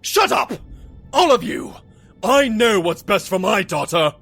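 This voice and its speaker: A vain, obsessive washed-up actor pony speaks high and slow with grandiose theatrical flair. His gravelly, husky voice plays the possessive cartoon villain to the hilt. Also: big pitch swings, fairly bright tone, soft background music, exaggerated voice. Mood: furious. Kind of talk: audio drama cartoon villain acting.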